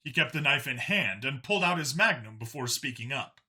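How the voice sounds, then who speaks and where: A man's voice: medium pitch, lively intonation, brisk, sharp tone. A man reading scripted science fiction narration as an audiobook narrator.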